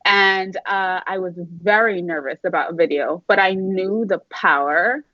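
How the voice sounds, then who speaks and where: A woman's voice: lowish voice, a little slow, dry recording, dull audio. A woman from Trinidad, a guest video coach, speaking candidly and laughing between thoughts. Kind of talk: casual interview chat.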